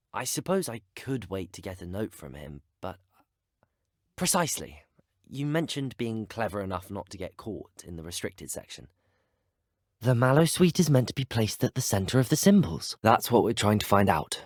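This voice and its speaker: A slightly breathy young British male student wizard for a video game. He is earnest, curious and well-spoken, with lively, swooping intonation in a close, dry recording. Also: fairly low pitch, moderate pace, no room sound. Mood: earnest, courteous. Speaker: player character voice.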